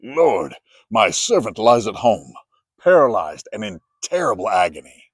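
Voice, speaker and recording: A man's voice: fairly low pitch, big pitch swings, unhurried, breathy edge, no room sound, heavily acted. Man playing an earnest, emphatic pleading centurion. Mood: anguished pleading. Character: earnest, humble Roman centurion, adult male soldier.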